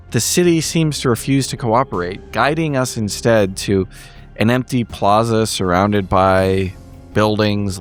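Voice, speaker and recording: A man giving a casual spoken recap of a horror roleplaying story, in measured, wry phrasing. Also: on the low side. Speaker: podcast player giving the episode recap.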